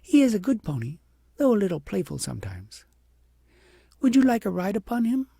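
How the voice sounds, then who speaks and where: A woman's voice: mid-range voice, sing-song, fairly quick, creaky, warm tone, husky, no room sound, studio quality, voice pushed up, exaggerated voice. A reader voicing a kind, sweet, magical little girl in a storybook. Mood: gentle, kind.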